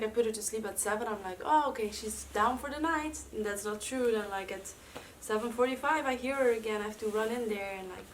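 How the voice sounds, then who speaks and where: A young woman with a Dutch accent, chatting casually at home in a vlog, with lots of fillers. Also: medium pitch. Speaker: vlogger. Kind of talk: casual chat. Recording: slightly distant mic with faint room noise.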